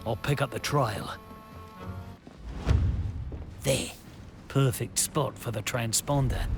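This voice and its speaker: An actor playing a wry, cocky British covert agent in a World War II game, muttering in an understated way with a gravelly voice. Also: male voice, medium pitch. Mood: determined. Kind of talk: video game voice acting.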